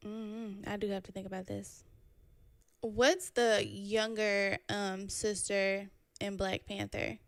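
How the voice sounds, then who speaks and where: A woman's voice: medium pitch, little pitch movement, slow, dry recording. A young woman guest on a casual podcast, blunt and sassy, swearing freely as she chats. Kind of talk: casual chat.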